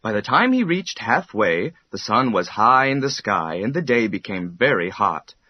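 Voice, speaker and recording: A man narrating a children's bedtime story in an expressive, measured storytelling manner. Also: lowish voice, slightly rough, lo-fi sound.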